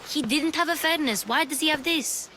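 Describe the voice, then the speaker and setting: A woman's voice: high voice, moderate pace, bright, forceful tone, a little hiss or hum. An actress playing an eager, curious young girl thief in a game cutscene. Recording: game cutscene with faint music. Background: music.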